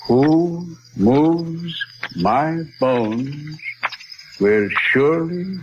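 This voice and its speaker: A dull 1940s radio recording with faint noise carries a learned male scientist. He is solemn and assured, lecturing in formal, deliberate phrases with old courtesy. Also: fairly high pitch, lively intonation. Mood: intent, solemn. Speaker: radio actor.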